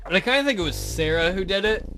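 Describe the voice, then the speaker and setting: Casting: male let's play host, informal and self-deprecating, lively swings in pitch, faint game music underneath. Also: fast talker. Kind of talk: casual game commentary.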